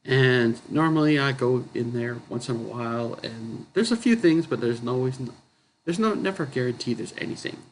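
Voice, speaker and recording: A man chatting casually about his comic book haul, his voice going hoarse and rough, clearing his throat often and correcting himself as he rambles. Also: medium pitch, lively intonation, fairly quick, a little hiss or hum, poor audio. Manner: rambling, self-correcting, chuckling. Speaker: comic book collector vlogger.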